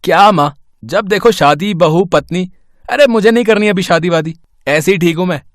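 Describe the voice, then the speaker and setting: Man playing a cheeky, higher-voiced young son. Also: normal speed, dry recording, studio quality, lightly acted. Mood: playful, protesting. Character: cheeky, good-humoured young adult son, college student.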